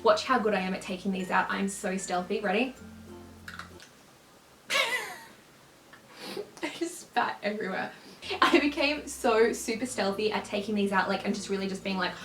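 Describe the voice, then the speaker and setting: A young woman vlogging in a casual, upbeat way, with a slight lisp and a quick laugh. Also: medium pitch, a bit bright, faint music behind. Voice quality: slight lisp from clear aligners. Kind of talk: casual chat to camera. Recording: roomy echo.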